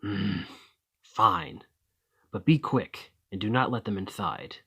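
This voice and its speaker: A man playing a desperate, weary immortal gentleman vampire in an ASMR roleplay, speaking formally and courteously in a breathy, husky voice that often drops to a whisper. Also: fairly low pitch, slow pace, heavily acted. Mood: urgent, cautious. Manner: formal, courtly, old-fashioned phrasing.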